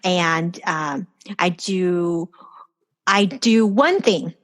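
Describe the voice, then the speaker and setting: A woman's voice: on the low side, drawn-out words. A woman life coach speaking as a guest over a remote call, upbeat and encouraging, often ending her points with "right?". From far from the host's country. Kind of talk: casual interview chat.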